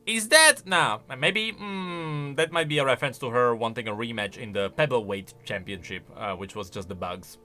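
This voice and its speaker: A man giving unscripted reaction commentary on game voice lines in an animated, exclamatory way. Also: mid-range voice, normal speed, hardly any pauses, faint music behind. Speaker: YouTube commentator.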